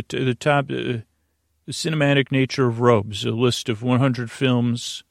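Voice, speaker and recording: A man hosting a bedtime podcast in a rambling monologue, halting and interrupting himself with stammered restarts, his voice creaky. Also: mid-range voice, no echo, clean studio sound. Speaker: podcast host.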